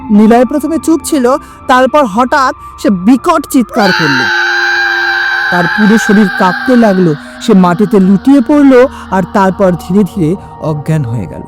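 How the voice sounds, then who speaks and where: A woman's voice: mid-range voice, expressive, unhurried, over music. A woman narrating a scripted horror story in a dramatic storytelling manner, with sudden drops in her voice.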